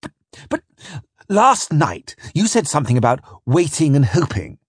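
A desperate Englishman in captivity, played by a male audiobook reader, pleads and exclaims. His voice jumps high and swings wildly, with frantic, indignant panic. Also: sing-song, moderate pace, breathy edge, no room sound, studio quality. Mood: urgent, desperate. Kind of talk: audiobook character voice.

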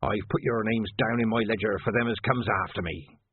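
A man's voice: lowish voice, fairly even intonation, a little fast, breathy edge, dry recording, phone-quality sound. A man voicing an eccentric, sulky male gravedigger who lives in the crypts and talks in a wheedling, pleading way. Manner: wheedling, pleading, faux-old-fashioned speech.

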